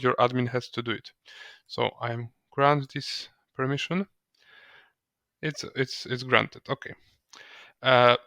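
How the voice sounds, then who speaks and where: Bright, clear-voiced man giving halting software tutorial. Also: medium pitch, no echo, clean studio sound. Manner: halting, hesitant delivery with repeated words. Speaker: software trainer. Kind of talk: step-by-step software tutorial narration.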